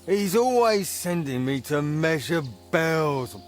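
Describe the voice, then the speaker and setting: High-voiced, woeful craftsman's helper. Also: animated, slow, soft background music. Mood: miserable, defensive. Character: Pushko, woeful, self-pitying young bell-founder's helper, man. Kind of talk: video game voice acting.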